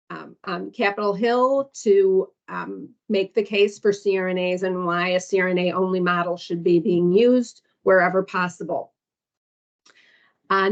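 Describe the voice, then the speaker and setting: A woman on a conference call walking through slides for an orientation, hesitant and full of ums as she reads down lists. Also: mid-range voice. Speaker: foundation staff presenter. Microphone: conference call audio. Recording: dull lo-fi call recording.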